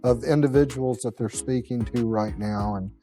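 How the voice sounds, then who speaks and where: Male official, mellow medium-pitched voice, careful and hesitant, faint music underneath. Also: normal speed.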